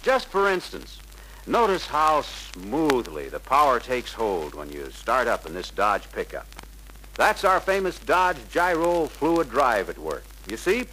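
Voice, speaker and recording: Young male salesman: polite, persuasive, forceful bright voice, faint noise of an old 1950s film soundtrack. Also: medium pitch, poor audio. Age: young adult. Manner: deferential, persuasive, earnest. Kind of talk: 1950s sales pitch acting.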